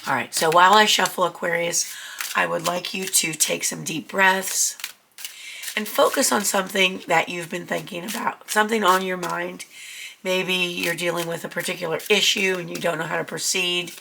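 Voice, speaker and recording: A woman's voice: fairly low pitch, fairly even intonation, moderate pace, gravelly, pushed and bright, slight background noise. A woman with a cold giving a chatty, reassuring tarot reading to her viewers. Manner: chatty, reassuring, many ums. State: getting over a cold. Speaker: tarot reader. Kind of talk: casual tarot reading to camera.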